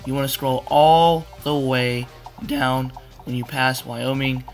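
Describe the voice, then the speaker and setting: A young man with a hoarse, cracking voice, rambling casually through a video game walkthrough with many fillers. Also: mid-range voice, fairly even intonation, slow, faint music behind. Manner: rambling, repeats "you wanna" with fillers. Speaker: gaming tutorial maker. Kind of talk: casual screen-capture walkthrough.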